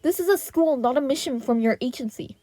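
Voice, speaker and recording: A woman voicing an indignant male teacher in a story reading, sharp and commanding as he lectures a colleague. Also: high-pitched, a little fast, no room sound, voice pushed up. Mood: stern lecturing.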